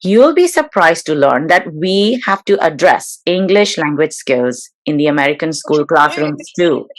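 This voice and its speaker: Measured woman hosting a webinar, lowish voice. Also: expressive. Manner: measured, slightly formal, with fillers. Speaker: webinar host. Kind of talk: introducing and interviewing a guest.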